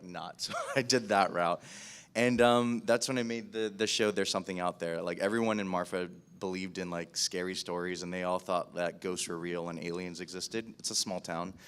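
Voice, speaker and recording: A man with a low voice and an American accent speaks casually as a podcast producer on a panel. He is self-deprecating and chatty, often acting out his own past thoughts as he goes. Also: a bit bright. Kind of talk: casual spoken career story at a panel.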